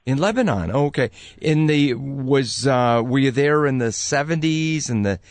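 A male host conducts a conversational interview with animated, widely swinging pitch. His voice sits in a middling register and sounds close and dry, with hardly any room around it. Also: a little slow, no echo.

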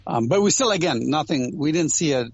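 A man's voice: on the high side, animated, a little fast, slightly rough, no room sound. Man explaining in dull, lo-fi audio. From Ghana and other countries abroad, Indian family. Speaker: interview guest. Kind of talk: conversational interview, personal storytelling.

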